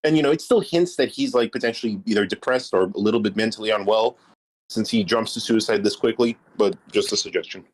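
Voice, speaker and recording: A man's voice: fairly low pitch, fast, low-quality recording. A man giving casual script feedback, offering his suggestions tentatively. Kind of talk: casual spoken critique.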